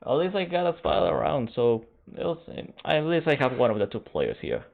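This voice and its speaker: Phone-like, halting male stream commentator. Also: a little high, expressive, vocal fry. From Canada. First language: Spanish. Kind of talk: casual stream chat while waiting for a match. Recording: narrow phone-like band.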